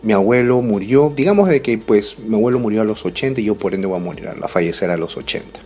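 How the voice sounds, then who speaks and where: A man's voice: lowish voice, animated, quick pace, dark tone, soft background music. A man in his thirties giving a reflective motivational talk, speaking straight to the listener and often asking rhetorical questions. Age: 30s.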